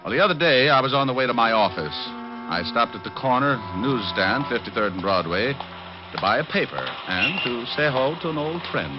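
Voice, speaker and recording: A man's voice: medium pitch, sing-song, moderate pace, lightly acted. A man acting a wry, breezy New York private detective in a 1940s radio drama, wisecracking as he goes. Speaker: lead actor and narrator. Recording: muffled vintage radio broadcast with music.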